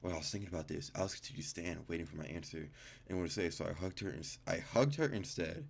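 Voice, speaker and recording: A man's voice: low voice, fast, gravelly, slightly rough. A young man hosting a gaming video, casually reading game text aloud and commenting in slangy, informal speech, stumbling over words as he reads.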